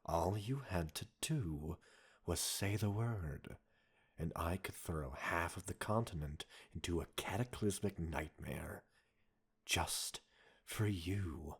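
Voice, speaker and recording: A man playing a cold, condescending villain scientist in an audio roleplay, a possessive captor speaking in a measured, mocking, formal way. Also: low voice, breathy edge, full character voice. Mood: possessive, aggrieved. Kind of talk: dramatic audio roleplay acting addressed to listener.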